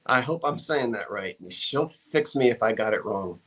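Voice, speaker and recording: A man's voice: medium pitch, animated, normal speed, fairly bright tone, poor audio. Man, live-stream sale host; casual, rambling chat with viewers, many fillers. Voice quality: frequent fillers and self-corrections. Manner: chatty, rambling, reads out viewer comments.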